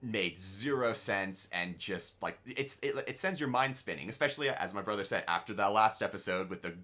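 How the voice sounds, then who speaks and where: A man's voice: lowish voice, animated, moderate pace, bright, forceful tone. A man co-hosting a casual reaction discussion, rambling and stammering with lots of filler "like". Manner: rambling, stammering, heavy use of "like". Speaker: co-host of a TV review show.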